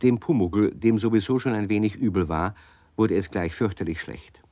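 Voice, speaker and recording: A male narrator tells a children's radio story, turning to young listeners with questions. His voice sits low. The recording is dry and close. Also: moderate pace, dry recording. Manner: warm, explanatory, asks the listeners questions.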